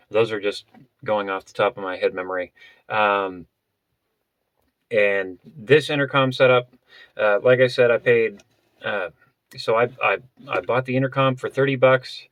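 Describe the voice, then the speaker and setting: A man vlogger explaining gear in a casual, unscripted way, halting and often rephrasing as he goes. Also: lowish voice, no room sound, muffled recording. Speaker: vlogger presenting a budget gear setup.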